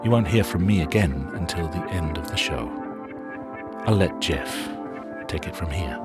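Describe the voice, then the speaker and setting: A man hosting a sound documentary, narrating a scripted introduction in a measured, wry storytelling manner. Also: low voice, lively intonation. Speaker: show host and narrator. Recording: narration over loud music.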